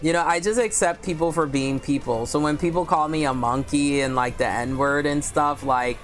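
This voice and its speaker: A woman with a deep voice hosting a casual book livestream, chatting candidly with viewers and reading their comments aloud. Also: soft background music. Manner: speaks slowly with many fillers.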